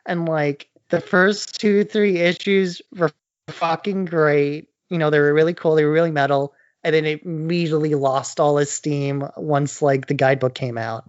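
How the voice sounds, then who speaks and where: A man's voice: a little high, steady tone, normal speed, clean tone, no echo, dull audio. A man co-hosting a podcast in casual, opinionated chat, blunt and prone to swearing. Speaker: podcast co-host.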